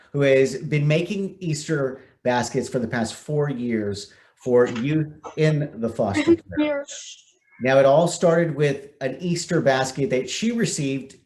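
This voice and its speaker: An American man presents at a virtual community event as its host. His voice sits at a middle pitch, and he is speaking over a video call. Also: a little mellow. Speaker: event host, news anchor.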